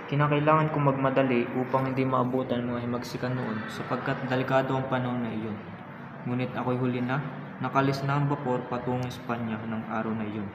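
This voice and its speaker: A man playing an earnest, principled Filipino patriot in an amateur Tagalog radio drama, reciting in a formal, measured way. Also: mid-range voice, flat delivery, noisy background, lightly acted. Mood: narrating, regretful. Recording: muffled, echoey reverberant hall, music behind.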